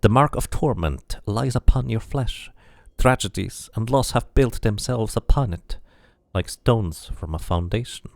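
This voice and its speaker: A solemn, otherworldly dabus tattooist, as a man reads him aloud speaks in grave, cryptic sentences from a video game. The voice sits low, slightly gravelly and dry, without roominess. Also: lively intonation, a little slow, darkish tone, no echo.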